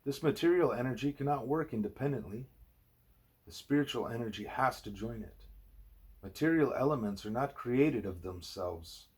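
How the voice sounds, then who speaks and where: A man's voice: medium pitch, breathy edge. A man reading a spiritual book aloud in the manner of an audiobook narrator. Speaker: audiobook reader.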